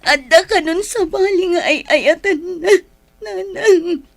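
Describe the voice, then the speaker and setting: High-voiced, heartbroken young Filipina. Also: female voice, creaky, heavily acted. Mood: tearful, pleading. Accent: Ilocano Filipino. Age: young adult. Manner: pleading, drawn-out delivery. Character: heartbroken young woman, dumped by her boyfriend, tearful. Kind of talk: Ilocano radio drama acting.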